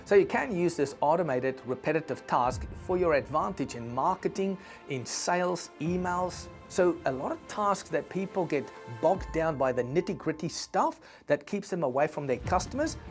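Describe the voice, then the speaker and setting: Earnest male business presenter, faint music. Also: on the high side. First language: Afrikaans. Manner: earnest, conversational, with asides to the viewer. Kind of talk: instructional video talk.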